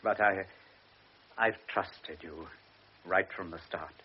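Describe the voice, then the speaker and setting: A man's voice: sits low, slow, husky, full character voice. An actor playing an older wealthy baron, a doting father who speaks hesitantly and apologetically, in a classic radio drama. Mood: anxious, pleading. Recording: dull old radio recording with faint hiss.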